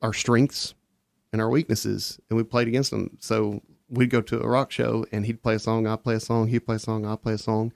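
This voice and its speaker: A man's voice: fairly low pitch, little pitch movement, normal speed, gravelly, a little mellow, dry recording, clean studio sound. A man guest on a casual podcast, telling long stories with many restarts. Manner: rambling, self-interrupting storyteller.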